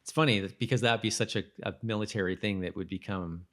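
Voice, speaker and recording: A man co-hosting a podcast in casual conversation, with a halting, self-correcting way of talking. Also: lowish voice. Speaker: podcast co-host. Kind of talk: casual chat about a TV episode.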